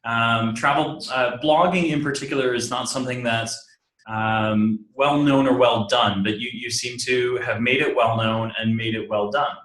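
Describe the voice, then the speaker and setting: A man's voice: mid-range voice, fairly even intonation, moderate pace, a bit bright. Upbeat male webinar host, roomy sound. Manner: upbeat, effusive. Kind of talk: hosting a webinar interview. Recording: roomy with faint background noise.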